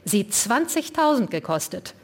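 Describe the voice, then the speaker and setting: A woman's voice: medium pitch, big pitch swings, a little slow. Audiobook figure: speechifying club chairman, rousing , woman voicing him. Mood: indignant. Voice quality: declamatory, speechifying. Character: pompous older male club chairman, rousing.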